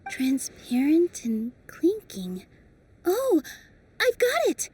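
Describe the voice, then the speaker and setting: A young woman voicing a gentle, hopeful dancer who works magic, speaking softly and earnestly in a game cutscene. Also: extremely high pitch, wildly swooping pitch, slow, a little hiss or hum, full character voice. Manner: soft, earnest, encouraging. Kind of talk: video game cutscene dubbing. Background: faint game music.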